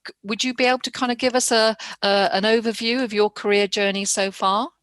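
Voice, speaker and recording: A woman's voice: medium pitch, fairly even intonation, fairly quick, fairly bright tone, no room sound. A woman informally hosting a careers panel in an encouraging, appreciative manner. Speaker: session host.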